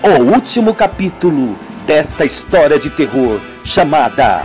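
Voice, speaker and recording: Male narrator, Brazilian accent, radio serial: deliberate, dramatic, slow pacing, lively intonation. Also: on the high side, soft background music. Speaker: narrator and presenter.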